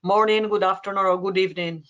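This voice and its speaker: Halting Spanish-accented woman explaining. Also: medium pitch, fairly even intonation, a bit bright. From Spain. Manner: halting, word-searching explanation with repetitions. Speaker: expert panelist. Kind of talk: conference panel discussion.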